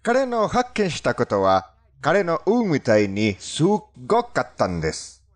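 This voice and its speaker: Animated man with a foreign accent, narrating. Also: fairly high pitch, measured pace. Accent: non-native. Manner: formal, storytelling delivery with stilted phrasing. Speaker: narrator of a fandubbed countdown video. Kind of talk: scripted countdown narration.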